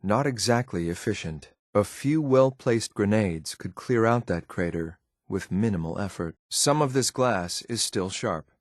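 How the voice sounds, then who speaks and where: Low-voiced, controlled synth enforcer. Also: male voice, expressive, measured pace, hardly any pauses, dry recording, clean studio sound. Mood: cool, wary. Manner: clipped, matter-of-fact, controlled, faintly condescending. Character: cool, efficient male synth enforcer, watchful and disdainful. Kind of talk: video game companion voice lines.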